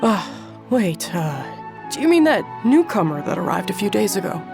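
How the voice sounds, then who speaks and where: A gravelly mid-pitched voice from an easygoing local villager. He chats in a relaxed, kindly way, with game music clearly playing behind. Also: normal speed, full character voice. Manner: easygoing and chatty. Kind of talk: game voice acting.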